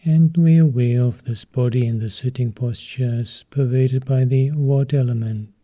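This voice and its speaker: A man leading a guided meditation as a teacher, speaking calmly and in short, measured phrases with long pauses. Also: mid-range voice.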